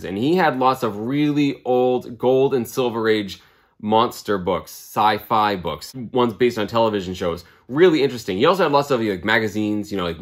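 Upbeat guy in his 30s, chatty and casual, lots of um and like, talking right into the camera. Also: fairly low pitch. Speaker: comic book channel host. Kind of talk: casual video blog, showing items. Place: small room lined with comic books. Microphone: camera at close range.

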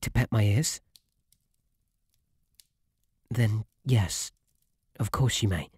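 Breathy, flustered British bunny butler, acted by a man. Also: fairly low pitch, unhurried, darkish tone, no room sound, clean studio sound. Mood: shy, yielding. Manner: flustered, deferential, formal, stammering. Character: shy, devoted, submissive male bunny butler. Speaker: roleplay audio performer. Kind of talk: intimate ASMR roleplay acting. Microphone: close, dry microphone.

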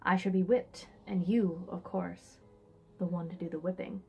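A woman's voice: on the low side, fairly even intonation, normal speed, creaky, husky, phone-quality sound, exaggerated voice. Woman putting on a pompous, brooding romance duke. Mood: mocking, smooth. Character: brooding, arrogant, dramatic male duke of romance. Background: classical music.

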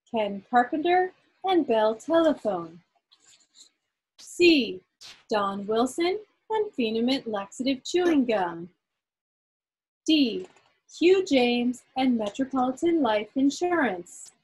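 High husky-voiced woman hosting online. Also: sing-song, takes it slowly, long pauses, darkish tone, rough recording. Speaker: meeting host running a quiz poll. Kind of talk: casual live event moderation. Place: online video call. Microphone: video call.

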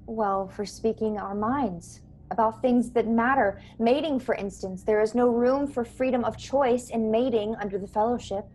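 A young woman playing an earnest, courteous starship officer in a radio play, measured, polite and gently persuasive. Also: fairly high pitch, close to an everyday voice. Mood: earnest, pointed. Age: young adult. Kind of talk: radio play acting over video call. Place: small bedroom, indoors. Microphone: earbuds on a video call. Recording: clear background noise on video call.

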